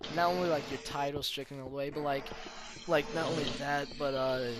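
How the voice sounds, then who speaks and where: A young man talks in a rush of excitement, stuttering and repeating himself as he explains his game server. His voice sits a little high, and a loud wash of noise runs under the whole recording. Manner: fast, excitable, frequent stutters and fillers. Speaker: server host. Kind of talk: casual chat while gaming.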